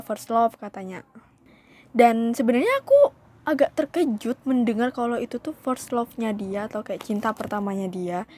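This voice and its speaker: A woman's voice: fairly high pitch, warm tone, slight background noise. A young woman vlogger telling a storytime in a casual, chatty way, often calling her viewers "guys" and slipping into English phrases.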